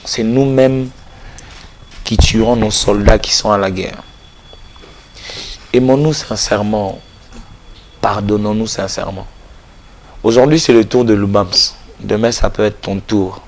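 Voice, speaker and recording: Low-voiced man with a cold, earnest appeal. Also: fairly even intonation, unhurried, pauses often, a little mellow. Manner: earnest, exhorting, repeats phrases. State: has a cold. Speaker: gospel artist, interview guest. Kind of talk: casual interview talk, closing with a preachy appeal.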